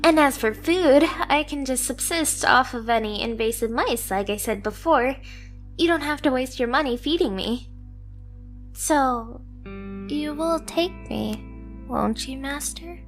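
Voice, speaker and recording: A woman's voice: high voice, measured pace. A woman voicing a submissive, obsessively devoted stray cat girl in an anime ASMR roleplay, with a girlish, eager, self-deprecating manner. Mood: eager pleading. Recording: music behind the voice. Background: music.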